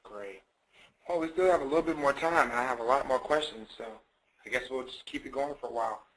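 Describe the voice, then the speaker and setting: A man's voice: mid-range voice, fast talker, fairly bright tone, rough recording. A man moderating a webinar, casually relaying questions to panelists.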